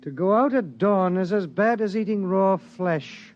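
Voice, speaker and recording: A male actor playing a fussy, indolent, learned gentleman in an old radio drama, with precise, formal, languidly pompous speech. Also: high-pitched, expressive, measured pace, dull audio. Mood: languid, disdainful.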